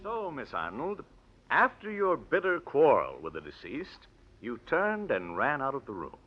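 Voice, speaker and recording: An actor playing an aggressive, scornful prosecuting attorney with theatrical, emphatic oratory in 1940s radio drama style. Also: male voice, mid-range voice, huge pitch swings, a little slow, faint music behind, exaggerated voice. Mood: cold, scornful. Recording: muffled old radio broadcast recording.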